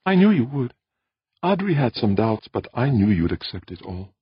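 A man voicing a gentle, tender alien woman in an audiobook. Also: medium pitch, animated, brisk, husky, no room sound, lo-fi sound, voice pushed up. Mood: happy, relieved. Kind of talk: audiobook character voice.

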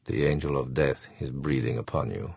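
Man voicing a very deep, gravelly space villain. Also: steady tone, normal speed, no echo, exaggerated voice. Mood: menacing. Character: cold, menacing male space attacker, calculating killer. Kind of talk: audiobook character thoughts.

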